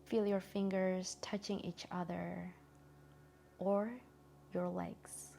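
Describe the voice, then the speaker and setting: A woman guiding a meditation in a gentle, soothing, soft-spoken way with long pauses. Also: fairly low pitch, little pitch movement, gravelly, a little hiss or hum. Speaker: meditation guide. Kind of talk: guided meditation narration.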